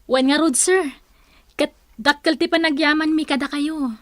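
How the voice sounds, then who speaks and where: A woman's voice: high-pitched, fairly even intonation, normal speed, fairly bright tone, no echo, studio quality. A woman with an Ilocano accent playing a deferential, gossipy office employee in a radio drama. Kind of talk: Philippine radio drama acting. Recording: music under the voice.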